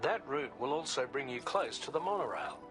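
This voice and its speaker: A man playing a practical adviser who briefs a courier on routes in a game cutscene, in a steady, colloquial manner. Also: medium pitch, a little fast, close to an everyday voice. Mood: calm. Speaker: mission supporter. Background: game music.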